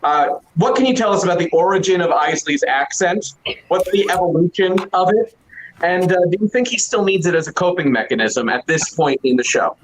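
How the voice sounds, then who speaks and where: A man with a somewhat raised voice hosts a relaxed Q&A. He sounds upbeat and sincere and uses a few fillers. There is a faint hiss on his video-call audio. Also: high voice, a little fast, rough recording. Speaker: Q&A moderator reading fan questions. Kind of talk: casual Q&A chat. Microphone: remote mic over a video call.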